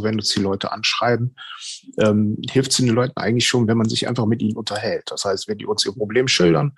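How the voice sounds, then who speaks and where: A man with a husky, fairly low voice explains things informally as an interview guest. The sound is close and dry. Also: dry recording. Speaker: paranormal investigator, interview guest.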